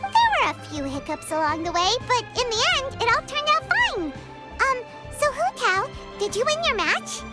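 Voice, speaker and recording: Super high squeaky fairy kid for a game, excitable, really animated. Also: female voice, moderate pace, loud music behind the voice. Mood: bubbly. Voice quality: squeaky, childlike. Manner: speaks of self in third person, excitable. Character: small floating fairy girl companion, childlike and chirpy. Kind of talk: video game character acting.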